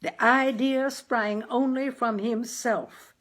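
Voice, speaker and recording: A woman voicing a gloomy, plainspoken working-class father in a storybook, with folksy grammar and a deliberate, emphatic delivery. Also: a little high, steady tone, fairly bright tone, pitched above the performer's own voice. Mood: careful, measured. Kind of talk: dramatized audiobook character.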